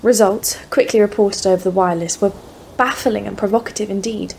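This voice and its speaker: A woman's voice: mid-range voice, brisk, creaky, a little mellow, slight background noise, natural voice, poor audio. A woman playing an earnest expedition leader, narrating events formally in an audio drama. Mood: awed. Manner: formal, literary phrasing. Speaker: voice actor in an audio play. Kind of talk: scripted first-person narration, audio drama.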